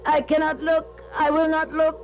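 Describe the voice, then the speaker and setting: An actress playing a weary, proud medium in a 1970s radio drama, speaking formally and with precise, dramatic diction. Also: female voice, high voice, monotone, moderate pace, over music. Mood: anguished, terrified. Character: weary, proud female clairvoyant medium, theatrical and firm. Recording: dull 1970s radio broadcast recording.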